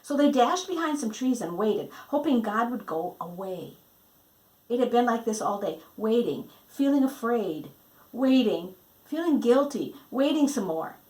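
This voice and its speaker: A woman reading a children's book aloud in a dramatic, emphatic storytelling manner with pauses. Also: fairly high pitch, animated, darkish tone, poor audio. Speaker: storybook reader.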